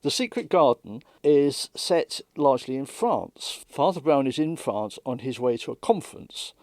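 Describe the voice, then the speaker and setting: A man giving measured, explanatory interview commentary as a radio dramatist, close to the microphone. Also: medium pitch, a little mellow, no echo.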